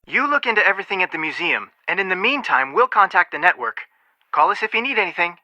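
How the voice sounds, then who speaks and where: A man's voice: sits high, sing-song, quick pace, bright, forceful tone, dry recording, full character voice. An actor voicing a level-headed, protective young male amateur detective in a video game, speaking in an earnest, matter-of-fact way with dry wit. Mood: concerned. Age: young adult.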